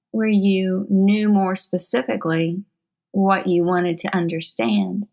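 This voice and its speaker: A woman leading a guided meditation in formal, solemn phrasing with frequent pauses. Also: medium pitch.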